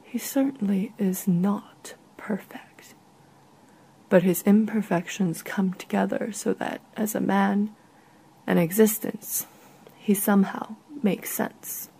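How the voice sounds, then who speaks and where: Woman with a husky, creaky voice. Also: mid-range voice, fairly even intonation, slow, warm tone, poor audio. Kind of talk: literary short story narration.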